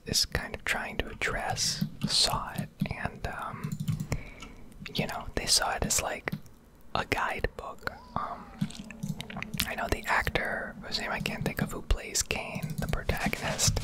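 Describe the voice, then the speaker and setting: A young American woman whispers a casual, reflective ASMR ramble close to the microphone while gaming. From United States. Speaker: ASMR streamer.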